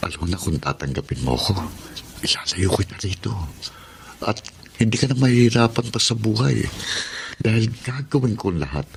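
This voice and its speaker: Gravelly, sly middle-aged stepfather. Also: fairly low pitch, very animated, brisk, pauses often, slight background noise. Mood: coaxing, amorous. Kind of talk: Filipino radio-style melodrama acting.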